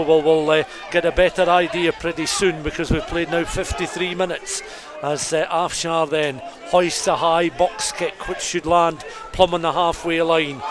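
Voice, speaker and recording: A man giving detailed, descriptive live play-by-play rugby commentary for radio. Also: fairly high pitch. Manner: descriptive, detailed scene-setting. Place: rugby stadium. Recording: crowd noise behind live outdoor commentary. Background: stadium crowd.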